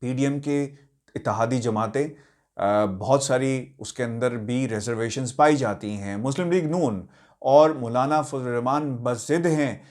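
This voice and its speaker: A Pakistani man speaks at a medium pitch as a news commentator. He addresses his viewers directly about politics, with deliberate emphasis. His delivery is halting, with hesitations between phrases. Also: a little mellow.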